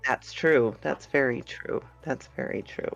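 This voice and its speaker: A woman's voice: rumbling low, measured pace, creaky. A woman guest on a podcast, an online story narrator, chatting casually, laughing often and hesitant and modest about herself. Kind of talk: casual chat. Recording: muffled lo-fi stream audio with faint music.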